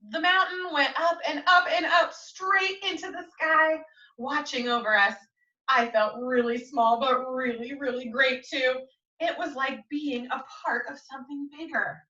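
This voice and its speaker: A woman teacher reading a picture book to young children in an expressive, coaxing way, often turning to them with questions. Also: fairly high pitch. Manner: expressive, coaxing, asks frequent tag questions. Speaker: schoolteacher reading to young pupils. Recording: roomy echo, distant mic, muffled audio.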